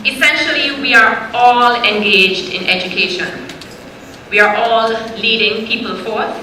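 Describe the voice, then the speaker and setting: A woman hosting an event, delivering a formal welcome address in a measured, rhetorical, ceremonial way. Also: a little high, sharp tone. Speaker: event host. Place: conference hall. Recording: echoey hall, faint noise.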